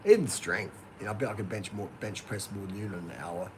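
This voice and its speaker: A man's voice: fairly low pitch, quick pace. A man in casual chat, telling a laid-back, boastful story and dropping "man" now and then. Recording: noisy line with clear background hiss.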